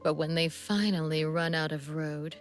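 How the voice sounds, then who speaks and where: An actress voicing a seductive, sadistic female assassin in a video game, languid and teasing, with a deliberate, breathy delivery and cool menace. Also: sits low, fairly even intonation, faint music behind, natural voice. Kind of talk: video game character voice lines.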